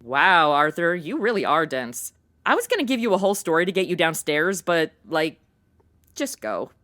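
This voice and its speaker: Woman as a sassy, animated, sarcastic young woman. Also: on the low side, normal speed. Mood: exasperated. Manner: sarcastic, slangy. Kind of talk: comedy audio drama acting.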